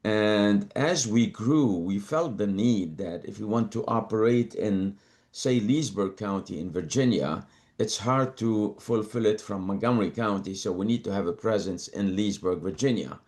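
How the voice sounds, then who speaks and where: Older male guest from Lebanon, low-pitched, measured, repeats words as he thinks. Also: darkish tone. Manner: measured, repeats words while gathering thoughts. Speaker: guest, company co-founder. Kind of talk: interview conversation.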